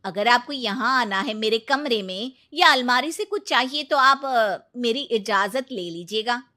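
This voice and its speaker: A woman's voice: on the high side, expressive, measured pace, bright, forceful tone. A woman voicing a self-assured, assertive young sister-in-law with a firm, pointed manner in a cartoon moral story. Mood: territorial.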